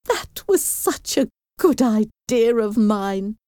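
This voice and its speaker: A woman's voice: a little high, expressive, slow, dark tone, no echo, studio quality, voice pushed up. A woman voicing a fussy, scheming, self-satisfied middle-aged mother in a storybook, with an anxious, emphatic manner. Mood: smug.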